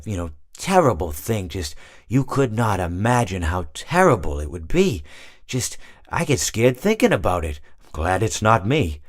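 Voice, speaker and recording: Game role: aged hippopotamus animatronic. Meandering, hesitant, mild-mannered storyteller, very animated intonation, recorded close and dry. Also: male voice, on the low side, no echo. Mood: apologetic, rambling. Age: older. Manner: rambling, hesitant, absent-minded, self-correcting. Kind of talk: character monologue for a video game.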